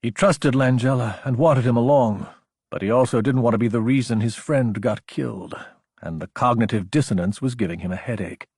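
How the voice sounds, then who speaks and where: A man's voice: mid-range voice, a little fast, darkish tone, breathy edge, no echo, studio quality. A man reading scripted fiction as an audiobook narrator.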